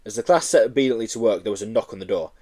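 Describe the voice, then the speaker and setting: A man reading a children's story aloud as an expressive audiobook narrator. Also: lowish voice, racing. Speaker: audiobook reader.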